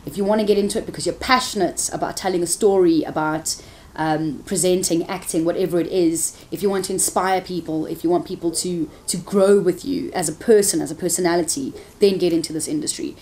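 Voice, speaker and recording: A woman's voice: lowish voice, steady tone, brisk, a little mellow, slight background noise. Frank woman presenter. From South Africa. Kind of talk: candid interview answers.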